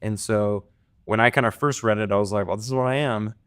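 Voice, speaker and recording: A man with a fairly low voice co-hosts a podcast. His talk is casual and loose, full of fillers, and the studio sound is dry and close. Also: fairly even intonation, a little fast, fairly bright tone, smooth, dry recording. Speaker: podcast co-host. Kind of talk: podcast interview.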